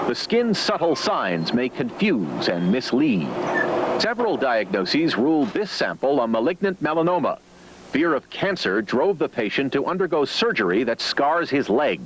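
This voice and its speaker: A man's voice: medium pitch, poor audio. A man reading scripted documentary narration in the role of narrator. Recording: music bed under voice. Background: loud music.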